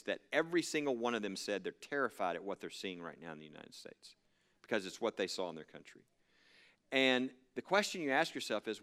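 A man's voice: medium pitch, a bit bright, smooth. A man from a religious freedom legal group giving an earnest, emphatic speech to an audience, with frequent fillers.

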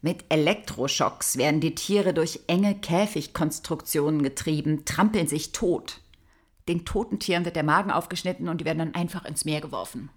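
A grave female detective in a radio play, her voice on the low side. She is emphatic and accusing, a moral crusader on official business. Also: normal speed. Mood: grave, appalled. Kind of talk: audio drama acting.

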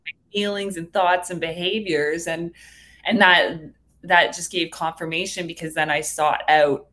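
A woman's voice: on the low side, fairly even intonation, a little slow, a bit bright. A woman speaking as a therapist guest in a casual interview, earnest, informal and reflective. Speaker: hypnotherapist guest.

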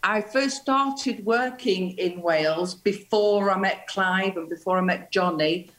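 A woman's voice: medium pitch, measured pace, low-quality recording. Woman, middle-aged, guest, reminiscing, casual chat. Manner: chatty, reminiscing. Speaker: guest, former dancer.

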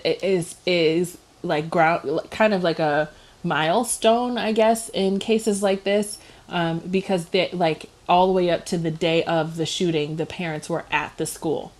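A woman, chatting casually as a podcast co-host, giggly and full of fillers. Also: fairly low pitch. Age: 30s. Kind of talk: casual chat.

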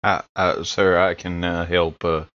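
Timid low-voiced crewman played by a man, slow stammer, obedient, dull roomy recording. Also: level tone. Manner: stammering, halting.

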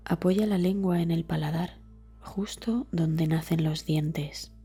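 Soft, breathy woman guiding meditation. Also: low-pitched, soft background music. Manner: slow, calm, deliberate phrasing with soft trailing words. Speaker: meditation guide. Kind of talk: scripted guided meditation narration.

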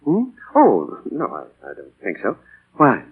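An actor playing an earnest, ambitious young English writer from the slums, with theatrical, emotional 1940s radio delivery. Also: male voice, mid-range voice, huge pitch swings, unhurried, breathy edge, narrow, tinny audio, exaggerated voice. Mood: moved, elated. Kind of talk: 1940s radio drama acting. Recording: band-limited old 1940 radio broadcast with music.

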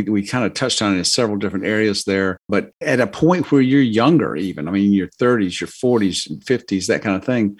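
A man's voice: lowish voice, breathy edge. An older man giving financial advice as a podcast guest, in a casual, earnest, folksy and loosely phrased way.

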